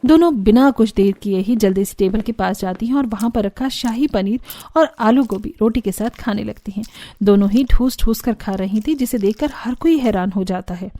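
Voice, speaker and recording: A woman's voice: medium pitch, normal speed, dark tone, faint music behind. A woman narrating a scripted cartoon story as the storyteller.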